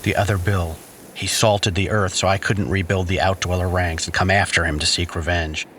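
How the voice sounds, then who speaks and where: An actor playing a sly, smug villainous brother in an audio drama, with urbane, mocking formality. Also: male voice, low voice, flat delivery, normal speed, a bit bright, a little hiss or hum. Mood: wry, resigned.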